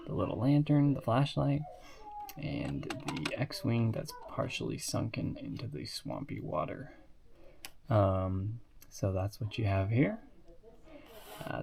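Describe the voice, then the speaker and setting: A man's voice: medium pitch, gravelly, a little mellow. A sick, sniffly man casually showing off toy sets in a rambling way, with lots of fillers and asides. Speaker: hobbyist reviewing toy building sets. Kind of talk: casual show-and-tell.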